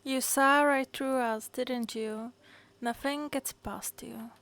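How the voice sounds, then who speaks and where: An actress playing a calm, thoughtful, perceptive young traveler in an anime video game, musing as she speaks. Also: high-pitched, slow, full character voice. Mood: friendly.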